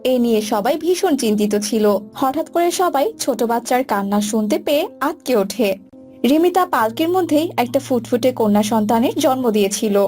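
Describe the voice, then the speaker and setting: A woman's voice: on the high side, lively intonation, moderate pace, darkish tone. A woman reading scripted narration for a cartoon story. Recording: music bed behind voice.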